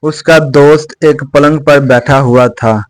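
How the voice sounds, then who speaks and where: A man's voice: a little high, fairly even intonation, slow pace, no room sound. A man narrating a scripted children's story as a close, dry voice-over.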